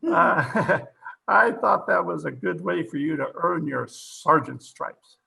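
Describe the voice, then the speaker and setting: Casting: actor as genial captain, conversational old-time radio style, bright male voice with fry, a bit noisy. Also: on the high side, animated, takes it slowly, exaggerated voice. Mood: teasing, genial. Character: genial, teasing police captain. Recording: home remote recording with clear background noise.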